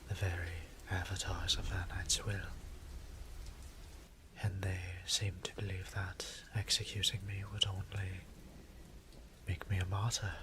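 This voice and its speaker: A man playing a weary, tender vampire priest in an intimate audio roleplay, whispering breathily with halting, formal speech. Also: deep voice, background noise. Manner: hushed, halting, formal wording. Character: weary, tender vampire priest, adult male, troubled exile.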